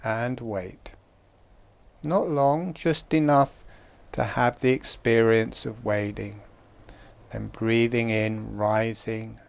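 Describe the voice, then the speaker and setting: Man, meditation guide, gentle and unhurried, gravelly, resonant. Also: lowish voice. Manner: slow, calm, gentle instructions with long pauses.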